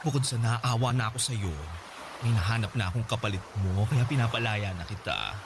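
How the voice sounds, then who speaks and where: A man's voice: medium pitch, brisk, background noise, close to an everyday voice. A man playing a frank, flirtatious wealthy man in a Tagalog radio drama, teasing and drawling with bits of English mixed in. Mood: frank, advising. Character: frank, flirtatious wealthy man advising his paid lover.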